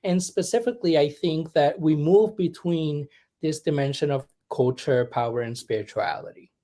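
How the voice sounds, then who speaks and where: Reflective chaplain from Puerto Rico. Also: male voice, a little high, moderate pace, mellow. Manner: reflective, searching, many ums and "right?". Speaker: humanist chaplain, guest. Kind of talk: conversational interview.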